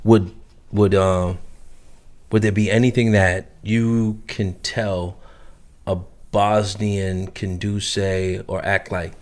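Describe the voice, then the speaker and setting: A man's voice: lowish voice, level tone, takes it slowly, darkish tone. A man hosting a casual group discussion, posing questions in informal, slangy language and checking that everyone follows. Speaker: podcast host, moderator.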